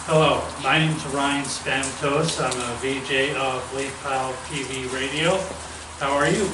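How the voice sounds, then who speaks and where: A man's voice: mid-range voice, steady tone, a little slow, bright, forceful tone. Hesitant male interviewer in an echoey room. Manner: hesitant, full of uhs and restarts. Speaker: host, interviewer. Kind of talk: casual phone-style interview. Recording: echoey, distant from the mic, noisy.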